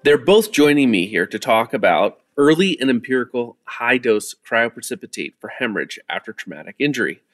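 A man's voice: mid-range voice, very animated, a little fast, few pauses, fairly bright tone, faint music behind. A man hosting a medical podcast, interviewing guests in a conversational way. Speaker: podcast host, medical journal editor.

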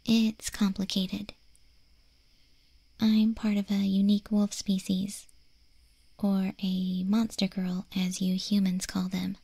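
A woman playing a friendly, curious young wolf girl in an ASMR roleplay, speaking softly and gently in a breathy, hesitant near-whisper. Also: mid-range voice, completely flat delivery, slow, long pauses, no room sound, exaggerated voice. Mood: sheepish, playful. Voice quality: soft, breathy, mostly whispered.

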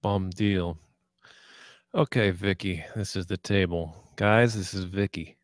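A menacing, crude gambler rules the poker table, voiced by a man in a film table read. His voice sits fairly low and very dry, and he talks in curt, profane commands. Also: steady tone, slow pace, dry recording. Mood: mocking, commanding.

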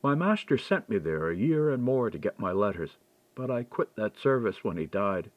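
A man's voice: on the low side, big pitch swings, moderate pace, no room sound. A man reading audiobook dialogue as a seasoned, frank and reflective man-at-arms. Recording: dull, lo-fi dry recording.

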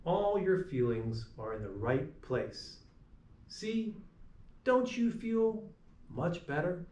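A middle-aged man reading a picture book aloud to young children as a teacher, in a gentle, deliberate storytelling manner. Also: a little high, very animated. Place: small classroom, indoors. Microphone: camera a few meters away. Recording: echoey room, distant mic.

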